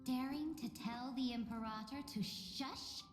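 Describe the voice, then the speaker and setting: A woman's voice: high voice, a little slow. A woman voicing a haughty, imperious female ruler in a fantasy video game, formal, commanding and disdainful. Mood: haughty, amused. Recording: echoey reverb with music behind.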